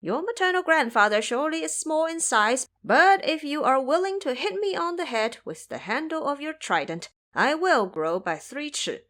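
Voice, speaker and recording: Woman playing a high-pitched, cocky little monkey warrior. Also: animated, fairly bright tone. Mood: taunting. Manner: sneering, teasing, drawn-out taunts. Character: small, cocky male monkey monk warrior, taunting. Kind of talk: audio drama storytelling.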